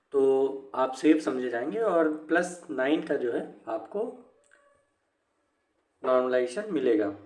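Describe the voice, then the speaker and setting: Male explainer, slightly high voice, halting informal delivery, very roomy sound. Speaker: exam news presenter. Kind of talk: informal explanatory commentary on exam cut-off scores. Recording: echoey room.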